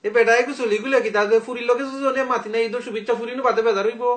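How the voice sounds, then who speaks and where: Young sylheti guy prank calling, really high sharp voice, cheeky and provoking. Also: fairly even intonation, rapid-fire. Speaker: prank caller, speaking as a daughter's suitor. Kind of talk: teasing phone argument.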